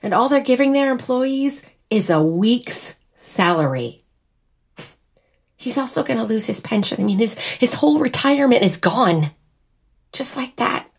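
A woman's voice: fairly high pitch, expressive, unhurried. A woman playing a wry, wistful young American bride in a naturalistic audio drama. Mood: wistful, upset.

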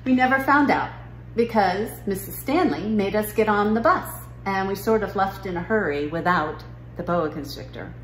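A woman's voice: mid-range voice, normal speed, natural voice. Storybook schoolgirl, played by a woman: young, unbothered, matter-of-fact storyteller in a roomy recording with slight noise. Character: nonchalant young schoolgirl recounting a farm trip. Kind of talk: children's picture book read-aloud. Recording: roomy living room with noise.

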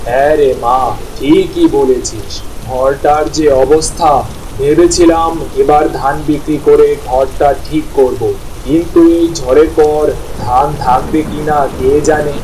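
A man's voice: fairly high pitch, fairly even intonation, measured pace, dark tone, natural voice. A man playing a poor, worried village farmer and tender father in a cartoon, with plain, sighing rural speech. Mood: worried, resigned. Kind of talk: cartoon voice acting. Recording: distant mic, clear rain noise.

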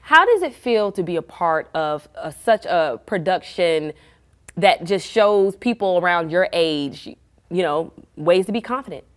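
A woman's voice: fairly low pitch, lively intonation, unhurried. A middle-aged woman hosting an upbeat lifestyle TV interview in a studio, effusive and encouraging. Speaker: TV show host. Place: small indoor TV studio. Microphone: lapel mic.

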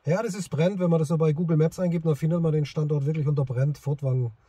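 A man's voice: on the high side, steady tone, fast talker. Southwest man, noisy car recording. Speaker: amateur CB radio operator and vlogger. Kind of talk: casual chat and commentary while testing a radio. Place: inside a parked car in a forest. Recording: clearly noisy, radio static in car. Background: loud noise, radio hiss.

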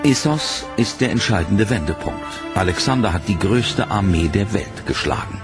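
A man reading a serious, measured documentary narration. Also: sits low, animated. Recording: narration over loud music.

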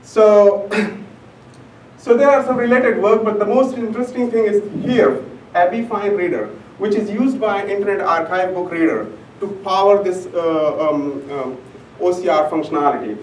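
A man's voice: sits high, measured pace, a little mellow. A young man whose first language is Urdu, giving an academic conference talk with many fillers and restarts. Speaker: conference presenter. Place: conference room, large and indoors. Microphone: room camera mic from far off. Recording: echoey room, distant mic, faint hum.

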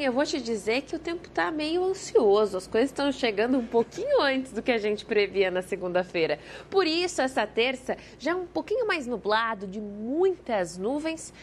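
A young woman with a Brazilian Portuguese accent presenting a live TV weather forecast in a studio, explaining in a lively, conversational way. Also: a little high, slight background noise.

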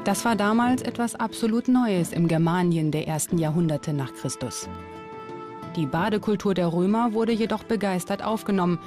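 A woman's voice: medium pitch, lively intonation, normal speed. A woman reading a scripted documentary narration as the narrator. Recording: music bed under the voice. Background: background music.

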